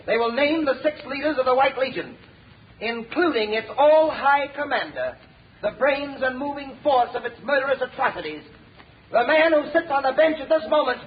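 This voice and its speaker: Righteous official in an old radio play, really high voice, formal declaiming, muffled sound. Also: male voice, lively intonation, normal speed, a little hiss or hum. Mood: grave, accusing. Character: righteous male official denouncing corruption in court. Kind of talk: 1930s radio acting. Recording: muffled old radio broadcast, crowd murmur.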